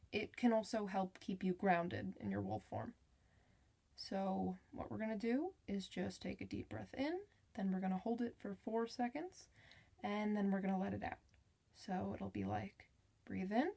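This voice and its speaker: A woman acting as a kind clinician in an ASMR roleplay. She is soft-spoken and reassuring, with a chatty bedside manner and a medium-pitched voice, talking straight to her patient. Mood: soothing, guiding. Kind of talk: ASMR medical roleplay addressed to the listener.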